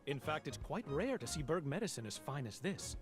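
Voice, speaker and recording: A man's voice: a little high, very animated, brisk. A man playing a courteous, appreciative tavern master in an anime game, with a polite, encouraging manner. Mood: approving. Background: game music.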